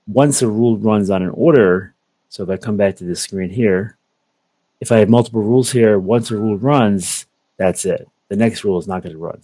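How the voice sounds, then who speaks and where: A young man giving a methodical, step-by-step software walkthrough over a video call. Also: on the low side, no echo. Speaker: software product presenter. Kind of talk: screen-share software walkthrough.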